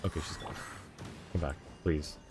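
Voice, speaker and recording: A man giving casual live gameplay commentary as a streamer, playfully muttering reactions as he goes, with music behind him. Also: moderate pace. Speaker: video game live streamer.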